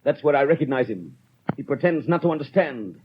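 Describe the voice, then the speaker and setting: A man's voice: mid-range voice, lively intonation, a little fast, slight background noise, lightly acted. A man playing a stern, rigid police inspector in 1930s radio drama style, with a clipped, formal, unbending manner. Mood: certain, matter-of-fact. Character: stern, rigid, self-punishing male French police inspector. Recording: dull old 1930s radio recording.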